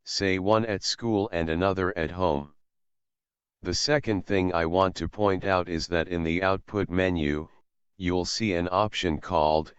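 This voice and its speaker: A man calmly and methodically walking through a screen-recorded software tutorial as an instructor. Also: deep voice, clean tone, no room sound. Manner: calm, methodical explaining. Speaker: assistive technology instructor. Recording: slightly dull, dry voice-over.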